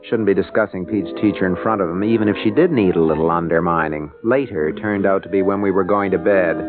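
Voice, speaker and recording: A man's voice: low voice, fast, lightly acted. An actor playing an exasperated, articulate lawyer father in an old-time radio comedy-drama, with a wry, theatrical way of talking. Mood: wry narration. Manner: articulate, opinionated, wryly grandiose. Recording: muffled vintage radio recording with music.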